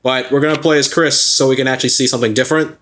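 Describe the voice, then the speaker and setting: A man's voice: medium pitch, fairly even intonation, racing, fairly bright tone. A young man in his twenties co-hosting a gameplay video, chatting casually with laughs and rambling restarts. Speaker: let's play co-host.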